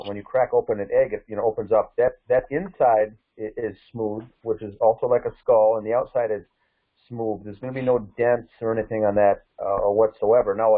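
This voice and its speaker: A man on a conference call explaining something conversationally, haltingly and with repeated words. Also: fairly low pitch. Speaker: participant in a case discussion. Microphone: phone or conference call. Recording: thin phone-like conference line.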